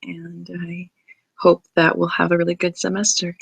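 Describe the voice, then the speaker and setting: A woman's voice: fairly low pitch, completely flat delivery, moderate pace, gravelly, husky, no room sound. A woman in her thirties, originally from Brazil, chatting casually as she introduces herself to a class, with many ums and restarts. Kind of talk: casual self-introduction video.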